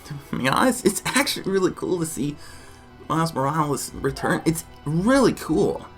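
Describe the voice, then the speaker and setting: A man's voice: on the high side, moderate pace, faint music behind. A man hosting an enthusiastic reaction and discussion vlog, exclaiming often and repeating himself. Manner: excitable, exclaiming, repetitive. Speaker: YouTube reaction host.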